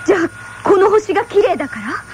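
An actress playing a gentle, supportive wife and mother among space settlers in a radio drama. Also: very high-pitched, very animated, fast talker, faint music behind. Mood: worried.

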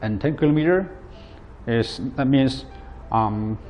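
A man in his thirties, a non-native English speaker, giving a plain, halting, step-by-step product demonstration. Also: mid-range voice, lively intonation, a little mellow, faint music behind. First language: not English. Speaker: product presenter. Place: indoor office at a table.

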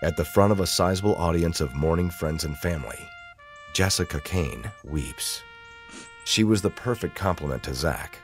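A man's voice: low-pitched, expressive, normal speed, faint music behind. A man giving scripted, dramatic narration as the narrator of an audio drama.

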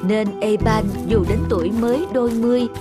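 A woman's voice: a little high, steady tone, fairly quick, over loud music. A woman narrating a children's fairy tale in a scripted storytelling style.

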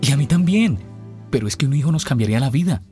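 A nervous young boyfriend in his twenties, acted by a man, talks quickly and earnestly with a Colombian accent. It is radio soap acting with faint music behind. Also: medium pitch, lively intonation, warm tone, close to an everyday voice. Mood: nervous, reassuring.

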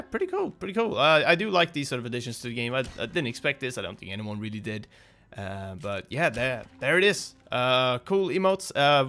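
A man's voice: mid-range voice, fairly bright tone. A young man hosting a gaming video, talking off the cuff in a casual, hesitant, rambling and apologetic way.

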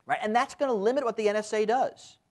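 A man in his fifties giving a university lecture, speaking emphatically and often tagging his points with a quick question, recorded on a lapel mic in a lecture room. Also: sits high. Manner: frequent tag questions, lively emphatic delivery. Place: lecture room with window blinds.

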